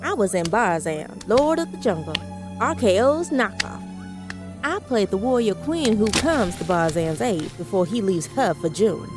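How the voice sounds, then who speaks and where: An actress playing a feisty, decisive adventure heroine with dry wit in a pulp audio drama. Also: female voice, mid-range voice, big pitch swings, unhurried. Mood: wry. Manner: decisive, wry. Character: feisty, dry-witted adventurous film-star heroine, adult woman. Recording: music behind the voice.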